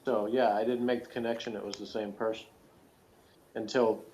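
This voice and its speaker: A man's voice: on the low side, level tone, moderate pace, darkish tone. A man chatting casually as a co-reviewer, with a self-deprecating way of talking. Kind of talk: casual chat. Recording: dull lo-fi audio, faint noise.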